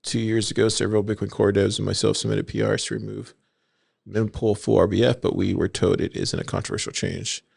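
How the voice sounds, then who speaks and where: A man co-hosting a casual podcast, reading forum posts aloud in a steady way and asking his co-host for his thoughts. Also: on the low side, brisk, creaky, slightly rough, no echo, clean studio sound. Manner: reads quotes in an even, steady way, then asks for opinions.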